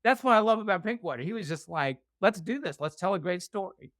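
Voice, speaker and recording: A man's voice: sits high, animated, fairly bright tone, no echo, clean studio sound. Rambling, joking man on a video call. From Shenandoah Valley, Virginia, US. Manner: rambling, self-deprecating, quick humor. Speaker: guest author. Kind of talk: casual interview chat.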